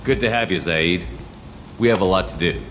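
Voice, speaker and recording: An actor playing a tough, commanding space soldier in a video game, speaking in a terse, dry, assertive way. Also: male voice, low voice, moderate pace, a bit bright, slight background noise. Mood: businesslike.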